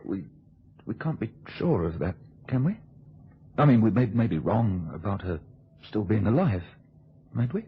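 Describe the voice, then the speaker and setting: A dull, faintly hissy vintage radio recording of a man burdened by guilt. He speaks intensely and haltingly to the woman he loves, in a lowish voice. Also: big pitch swings. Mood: tense, doubtful. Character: troubled, guilt-burdened husband or lover. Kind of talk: old radio drama acting.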